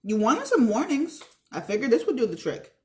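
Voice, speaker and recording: A woman's voice: a little high, huge pitch swings, moderate pace, a little mellow, pitched above the performer's own voice. A woman voicing a kindly, wise handyman in a storybook, explaining history in an earnest, encouraging way.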